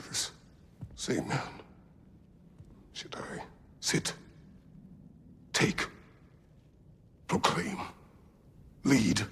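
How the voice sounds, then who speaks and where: An actor playing a weary, remorseful warrior god in a video game, grave and stern, speaking in slow, halting phrases with a deep, gravelly voice. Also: male voice, fairly bright tone, long reverb tail, slight background noise, heavily acted. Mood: torn, searching. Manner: slow, halting, solemn, dropping to breathy near-whispers. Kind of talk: dramatic video game monologue.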